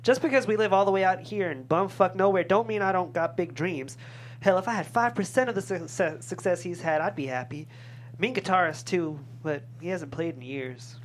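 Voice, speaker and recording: A woman's voice: fairly low pitch, moderate pace, slight background noise, close to an everyday voice. An actress playing a bawdy, teasing older small-town woman in a comedy script table read. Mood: frank.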